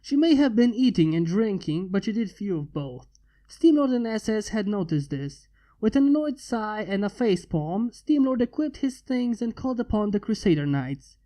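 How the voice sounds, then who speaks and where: A man's voice: high-pitched, sing-song, normal speed, mellow, poor audio. A man reading a fan fiction story aloud as scripted narration.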